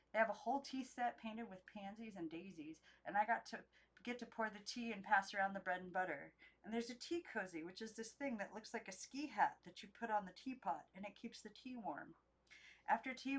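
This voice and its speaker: Woman reading as an earnest, halting young girl. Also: medium pitch, close to an everyday voice, low-quality recording. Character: shy, earnest young girl sharing at school.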